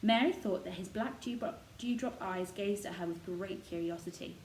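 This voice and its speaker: Female storybook narrator, mid-pitched, scripted children's fiction, roomy recording. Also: normal speed, darkish tone. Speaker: storybook reader. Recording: roomy echo, noticeable background noise.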